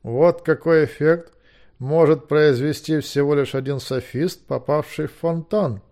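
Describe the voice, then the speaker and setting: A man's voice: fairly high pitch, a little slow, warm tone. A man voicing a learned, wry schoolmaster in a fantasy audiobook, oratorical and emphatic with lofty maxims.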